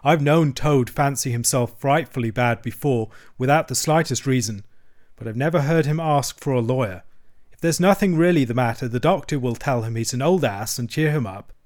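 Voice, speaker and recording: A man's voice: medium pitch, a little mellow, close to an everyday voice. Man playing hearty, good-natured water rat. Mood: worried. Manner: hearty, brisk, plain-spoken. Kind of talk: storybook character acting.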